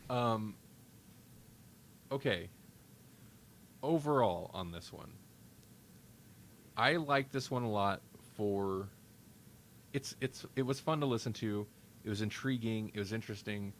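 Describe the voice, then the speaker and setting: A man with a fairly low voice chats as a podcast host. He reviews things casually and haltingly, thinking out loud as he goes. Noticeable noise sits behind his voice.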